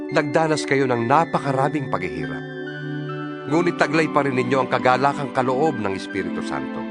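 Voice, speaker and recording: Animated Filipino man narrating scripture over music. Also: medium pitch. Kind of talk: dramatized Bible reading, scripted narration.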